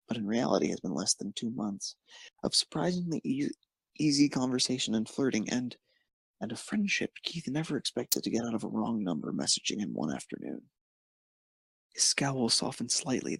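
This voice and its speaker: A man narrates a piece of fan fiction in a gravelly, slightly husky voice of medium pitch. He reads from a script with the odd stumble, and the sound is dry. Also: fairly bright tone, no echo, rough recording. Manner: occasional stumbles while reading.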